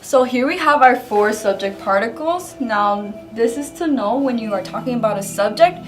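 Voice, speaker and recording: A woman's voice: on the high side, roomy sound. A young woman teaching a language lesson, patiently explaining examples one by one at a whiteboard. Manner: clear, patient explaining, often pointing at a whiteboard. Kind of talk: scripted lesson narration.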